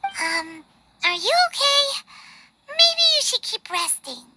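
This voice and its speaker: Casting: small caring fairy sidekick in a game, piercingly bright and childlike, unhurried delivery. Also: female voice, very animated, exaggerated voice. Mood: worried. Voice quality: squeaky, childlike. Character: small childlike floating fairy companion, squeaky, caring, chatty. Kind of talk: video game dialogue acting. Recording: game music faintly behind.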